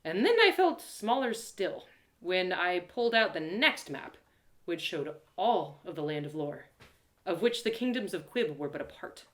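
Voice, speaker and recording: A woman voicing an excitable, plucky young girl adventurer who narrates her quest with dramatic, colloquial asides. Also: on the low side, unhurried, echoey room. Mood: musing, deflated. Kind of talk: first-person dramatic story narration.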